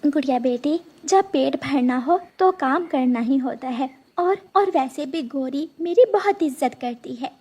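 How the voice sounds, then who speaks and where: A woman voicing a gentle, dutiful mother bird in a cartoon, speaking in a soft, humble, earnest way. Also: high voice, lively intonation, unhurried, hardly any pauses. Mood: reassuring.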